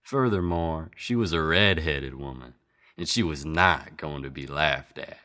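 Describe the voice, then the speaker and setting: Deep-voiced folksy storyteller played by a man. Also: measured pace, bright, forceful tone, exaggerated voice. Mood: folksy, emphatic. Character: folksy Old West storyteller, unhurried male townsman. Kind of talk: scripted narration of a Western folk tale.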